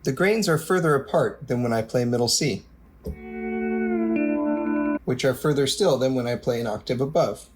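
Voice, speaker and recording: A man's voice: medium pitch, normal speed, pauses often, loud music behind the voice. A man narrating a scripted technical tutorial in a methodical, explanatory way, as a product demonstrator.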